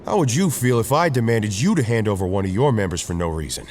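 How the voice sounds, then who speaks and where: A man playing a calm, level-headed male soldier hero who leads his team. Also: fairly low pitch, fast talker. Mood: firm. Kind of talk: fan audio drama acting. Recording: voice over music score.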